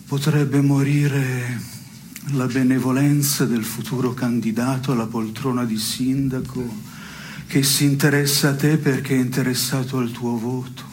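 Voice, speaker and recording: An actor playing a deadpan, solemn man in a theatre dialogue, speaking deliberately with halting pauses. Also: mid-range voice, close to an everyday voice. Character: deadpan man solemnly pondering what should die. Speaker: stage actor. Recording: clearly noisy background.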